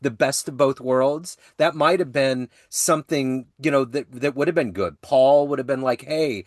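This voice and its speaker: Midwest guy hosting a podcast, rambly and excited, dry close mic, middle pitch. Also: normal speed, dry recording. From Midwestern United States. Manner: enthusiastic, rambling, slangy. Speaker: podcast host. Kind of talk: casual conversational interview.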